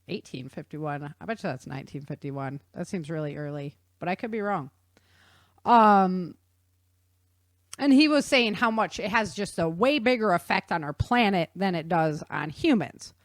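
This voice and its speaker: A woman's voice: mid-range voice, animated, a little slow, dry recording, clean studio sound. A woman hosting a talk show, explaining science in a chatty, informal way and checking in with her listener.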